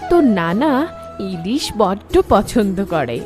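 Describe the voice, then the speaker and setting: A woman's voice: medium pitch, very animated, a little slow, over music. A woman playing a concerned mother of a grown son in cartoon dialogue. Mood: concerned, wistful.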